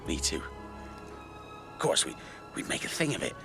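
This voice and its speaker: An actor playing a rueful rural man in a naturalistic radio drama, telling his memories in a halting, conversational way. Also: mid-range voice, wildly swooping pitch, creaky, music in the background. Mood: nostalgic, halting. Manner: halting, reflective, conversational storytelling. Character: rueful rural man recalling an old friend's tragedy.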